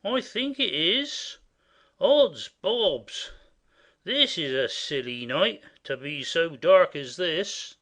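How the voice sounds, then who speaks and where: An actor playing a simple, boastful, smitten manservant in a classic comedy audio play, with a naive, exclaiming manner. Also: male voice, a little high, lively intonation, slow pace, a bit bright, exaggerated voice. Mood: cheerfully boastful. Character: simple, boastful male servant, cheerfully self-satisfied and smitten.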